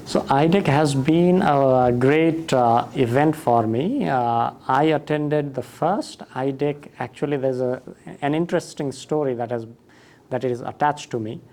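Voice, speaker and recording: A man's voice: medium pitch, unhurried, a little mellow. A man, a school director telling his story in a conference interview, thoughtful with fillers and restarts. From Nepal.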